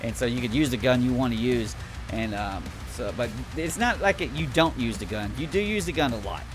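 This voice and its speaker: A man's voice: medium pitch, lively intonation, fairly quick, music in the background. A man giving an unscripted, casual game review in a rambling, blunt, griping and sweary way.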